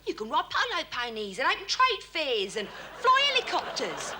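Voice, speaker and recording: An actress playing a fed-up, scolding working-class royal wife with a London accent in sketch comedy. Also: female voice, sits high, wildly swooping pitch, normal speed, noisy background. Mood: exasperated. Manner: exasperated, scolding.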